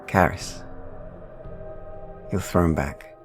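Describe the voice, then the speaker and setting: A man narrating dark fantasy as a game master, deliberate and ominous, pausing between short phrases. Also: deep voice, faint music behind. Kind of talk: slow dramatic second-person dark fantasy storytelling.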